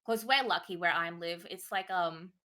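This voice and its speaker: An Australian woman being interviewed as a guest streamer, soft-spoken and relaxed, with many "um"s and easy laughs. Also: on the low side, normal speed. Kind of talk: casual interview chat.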